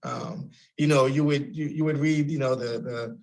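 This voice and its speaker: A man hosting a radio interview, halting and repeating words as he rephrases his questions. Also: medium pitch, steady tone, a little mellow. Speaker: radio host.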